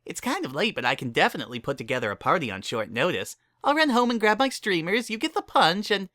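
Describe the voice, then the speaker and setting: A narrator voicing an excitable, chatty young female cartoon party pony with a squeaky, girlish, bubbly delivery. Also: fast talker, dry recording, studio quality. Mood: gleeful. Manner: bubbly, gushing, emphatic.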